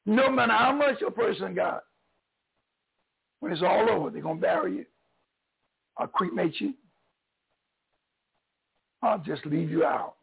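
Hoarse older Southern pastor preaching. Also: male voice, high-pitched, measured pace, long pauses, phone-quality sound. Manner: preacherly, swings between loud and soft. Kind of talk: encouraging sermon.

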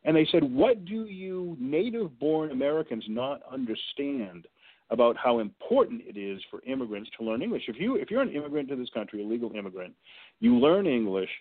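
A man's voice: mid-range voice, big pitch swings, fairly quick. A man calling in to a talk show as a guest and making his case emphatically, with sports comparisons. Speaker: guest advocacy director. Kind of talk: phone-in radio interview. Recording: tinny phone line.

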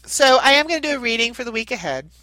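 A woman's voice: on the high side, animated, fast talker, pushed and bright, no echo, poor audio. A woman with a nasal voice, getting over a head cold, giving a rambling spiritual talk as a channel host and card reader. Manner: meandering, chatty, with many restarts. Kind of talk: casual spiritual talk to camera.

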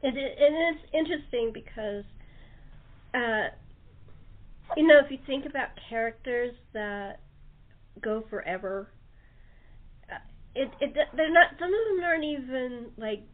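A woman's voice: fairly high pitch, lively intonation, measured pace, pauses often. A woman hosting a casual radio chat, rambling and often restarting her sentences as she goes. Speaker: radio show host. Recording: muffled narrow-band call-in audio.

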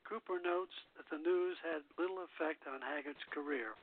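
Male radio host, slightly high and husky, halting read of a music history script, thin phone-line sound. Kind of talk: reading a music biography aloud.

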